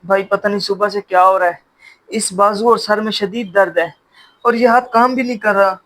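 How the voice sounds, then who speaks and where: A man's voice: sits high, steady tone, normal speed, fairly bright tone. A man playing a self-centred younger son in a family moral short drama. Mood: pained. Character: selfish younger adult son, scheming, later repentant. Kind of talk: Urdu moral short-film acting.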